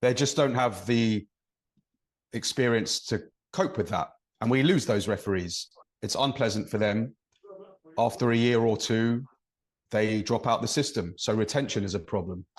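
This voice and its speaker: A man's voice: on the low side, normal speed. An English man, a referee and podcast co-host, giving long thoughtful explanations in casual conversation. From England. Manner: thoughtful, explains at length with examples.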